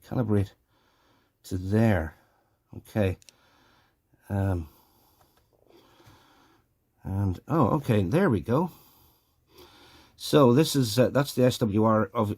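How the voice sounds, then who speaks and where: A man presenting a hobby video in a casual, hands-on way, explaining as he goes and often thinking aloud haltingly. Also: fairly low pitch, darkish tone, husky.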